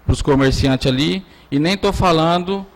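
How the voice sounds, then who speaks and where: A man's voice: on the high side, measured pace. A Brazilian man speaking as a city councillor in a council session, giving an informal political speech in plain colloquial words.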